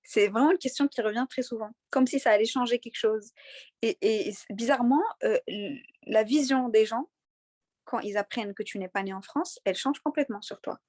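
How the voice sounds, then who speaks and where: A woman's voice: on the high side, a little mellow, no echo, studio quality. Earnest young woman, faint Moroccan accent. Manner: earnest, halting with many fillers and repeated words. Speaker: interviewee. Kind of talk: conversational interview.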